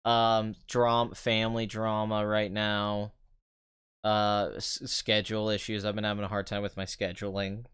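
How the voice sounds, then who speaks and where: A man's voice: fairly low pitch, completely flat delivery, measured pace, a bit bright, clear, resonant tone. A young man reviewing a film on a live stream in loose, rambling chat, his voice stuffy, nasal and a little trembly from a sore throat. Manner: rambling, many fillers and restarts. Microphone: desk mic with compression.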